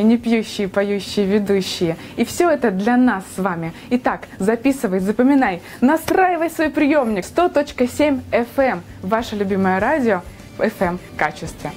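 A woman reading an upbeat radio station promo in a lively, emphatic way. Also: fairly high pitch, a little hiss or hum. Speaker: radio announcer in an ad.

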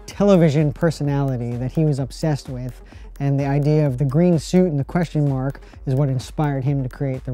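A man in his thirties talks through his handmade props in a mellow, relaxed voice, chatty and loose with the odd "uh" and "like". Faint music plays under him in an indoor workshop. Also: medium pitch. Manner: relaxed, chatty, explaining with fillers. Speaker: prop maker. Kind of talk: casual show-and-tell narration. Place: indoor barn workshop with arcade machines.

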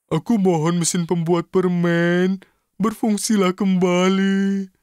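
A man voicing an eager, impatient little cartoon fellow with childlike excitement in a children's cartoon dub. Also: high voice, slow pace, clear, resonant tone, no echo, studio quality. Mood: dismayed. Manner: excitable, exclamatory.

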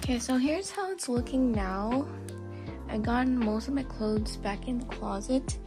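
A woman's voice: fairly high pitch, measured pace. A young woman talking casually to her phone camera in her bedroom while she tidies up. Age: young adult. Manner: relaxed, chatty, talks to the camera. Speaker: vlogger. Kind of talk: casual vlog narration. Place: home bedroom, indoors. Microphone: phone camera held close. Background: lo-fi background music.

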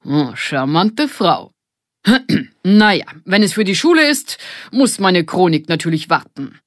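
A woman's voice: fairly low pitch, very animated, a little slow, dry recording, clean studio sound, voice pushed up. A woman voicing a stern yet gullible old grandfather in an audiobook, formal and slightly pompous. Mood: flustered, charmed.

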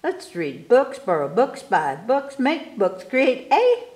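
A woman reading children's poems aloud at storytime, expressively and with careful, animated delivery for young listeners. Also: on the high side, roomy sound. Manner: animated, unhurried, enunciating for children. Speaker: library storytime reader. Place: indoors in a room, book filmed on table.

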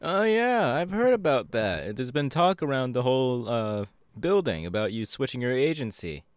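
A man's voice: mid-range voice, moderate pace, clean tone, no echo, clean studio sound, lightly acted. A man playing an upbeat, easygoing young electric superhero in a casual, chatty audio roleplay. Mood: friendly, chatty. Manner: casual, animated, hesitant with fillers. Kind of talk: audio roleplay, chatty anime character acting.

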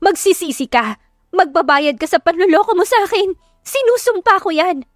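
A woman's voice: extremely high pitch, animated, moderate pace, dry recording, clean studio sound, pitched above the performer's own voice. A woman voicing a betrayed, heartbroken and defiant wife in an audio drama, emotional and accusing. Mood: furious, vowing. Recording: music behind the voice.

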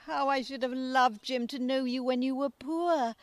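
Actress as a gushing, high-voiced adoring wife. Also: female voice, fairly even intonation, normal speed, dry recording, natural voice. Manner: flowery, gushing, theatrical endearments. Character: gushing, adoring romantic wife with affected airs. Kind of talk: radio play acting.

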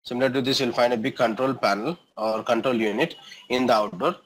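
A man's voice: medium pitch, brisk, rough recording. Indian-accented man teaching a class. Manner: checks understanding with short tags and questions. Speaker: engineering instructor. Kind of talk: explanatory classroom lecture.

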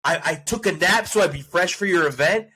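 A man's voice: high voice, sharp tone. A man in his thirties, congested, chatting casually as a podcast co-host over a video call. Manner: joking, quick banter. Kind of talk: casual chat. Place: home office, indoors. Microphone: large studio mic on arm, video call.

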